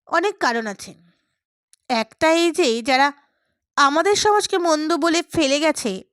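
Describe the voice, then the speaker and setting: A woman's voice: sits high, a bit bright, dry recording, clean studio sound, full character voice. A woman voicing a passionate, stubborn young man who speaks earnestly and emphatically to his dear friend in an audiobook.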